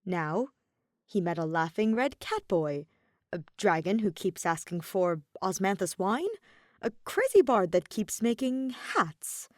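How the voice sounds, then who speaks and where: A woman reading fiction aloud as an expressive scripted storyteller. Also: mid-range voice, a little slow, dry recording, clean studio sound. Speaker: podfic reader.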